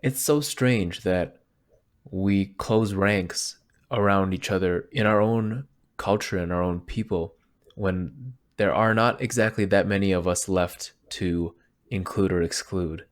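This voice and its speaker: A male podcast host asks his guest questions in a relaxed, conversational tone. His voice is very low. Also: a little slow. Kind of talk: casual interview questions.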